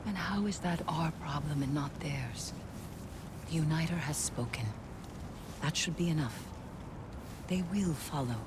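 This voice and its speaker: A woman's voice: deep voice, a little slow, long pauses, background noise. A woman playing a fiercely loyal elf warrior in video game dialogue, firm and earnest. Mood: resolute. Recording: music behind the voice.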